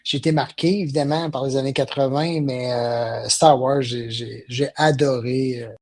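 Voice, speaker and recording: A man's voice: medium pitch, steady tone, moderate pace, a little mellow. A middle-aged man with a Quebec French accent, chatting as an interview guest in hesitant, reflective phrases. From South Shore of Montreal, Quebec. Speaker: podcast guest, business networking company president. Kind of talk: casual interview chat.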